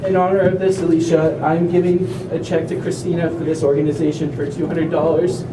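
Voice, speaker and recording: High-voiced young man speaking from afar. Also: little pitch movement. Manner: earnest, heartfelt, slightly halting. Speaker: family member speaker at an awareness walk. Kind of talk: prepared speech to a crowd. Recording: echoey, distant, noisy event recording.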